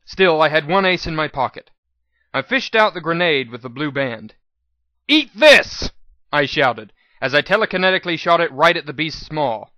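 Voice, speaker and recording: Higher-pitched man narrating a dramatic story. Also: expressive, fairly quick, sharp tone, dry recording, lo-fi sound. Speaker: narrator of a fan fiction audiobook. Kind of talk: dramatic first-person scripted narration.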